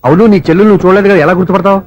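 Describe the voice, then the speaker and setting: Fast, high-voiced lovestruck young man male actor. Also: steady tone, lightly acted. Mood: exasperated. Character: exasperated lovestruck young man hunting for a girl. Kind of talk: Telugu film comedy acting.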